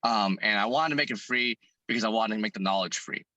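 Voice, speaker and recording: Hoarse man, bright and earnest. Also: fairly low pitch, fast, no room sound, highs cut off. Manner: earnest, thinking aloud with many restarts. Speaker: fitness content creator and business owner. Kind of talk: interview guest answering questions.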